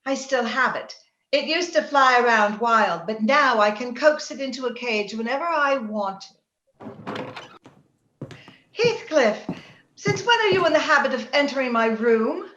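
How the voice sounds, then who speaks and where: Female actor as willful, passionate young moorland heroine, high voice, old-time radio theatrics, echoey room on a video call. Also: animated, measured pace, pushed and bright. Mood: willful, airy. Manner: theatrical, impetuous. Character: Kathy, passionate, willful young woman of the moors. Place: indoor room, remote video-call session. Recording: roomy, dull video-call audio, faint noise.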